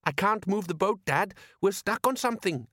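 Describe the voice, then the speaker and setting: A man's voice: lively intonation, normal speed, pushed and bright, no echo, clean studio sound, heavily acted. A man voicing a young fisherman's son in an audiobook, naive and anxious, with a plaintive, questioning way of talking. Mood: doubtful. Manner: high-pitched, querying, plaintive.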